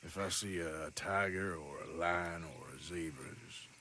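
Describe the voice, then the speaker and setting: A male actor playing a gruff, wry American Old West outlaw in a video game cutscene, dry and sardonic in manner. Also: deep voice, measured pace, sharp tone, slight background noise. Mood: bemused, sardonic.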